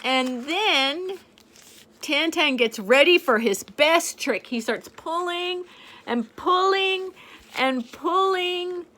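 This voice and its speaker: An older woman reading a picture book aloud to a child in a gentle, playful way, pointing out the pictures as she goes. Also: extremely high pitch, expressive, slow. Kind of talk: storybook read-aloud to a child.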